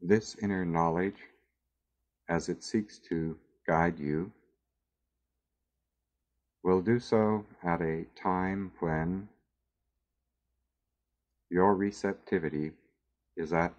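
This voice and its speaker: Really low voiced guy doing guided meditation, super slow n soothing, lots of pauses, kinda muffled lo-fi audio. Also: steady tone. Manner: measured, soothing delivery with long pauses.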